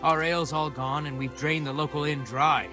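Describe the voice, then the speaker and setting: Male actor as medieval army quartermaster, chummy, gruff humour, colloquial, higher-set voice, game dialogue, faint music behind. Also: fairly even intonation, moderate pace, natural voice. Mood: hearty, rueful. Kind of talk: video game dialogue acting.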